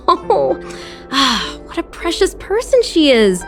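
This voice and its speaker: A woman's voice: sits high, sing-song, slow, heavily acted. A woman voicing a gracious, hearty restaurant chef in a video game, effusive and fond of telling stories. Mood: fond, admiring. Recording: studio voice with clear music behind. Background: game music.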